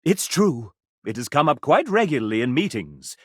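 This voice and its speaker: Flamboyant, sassy gentleman narrator, wildly swooping. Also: medium pitch, very animated, moderate pace, husky, no room sound, studio quality. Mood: arch, playful. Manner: flamboyant, arch, mock-genteel, sardonic. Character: sassy, theatrical gentleman game-show style narrator of a dating game. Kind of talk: theatrical scripted video game narration.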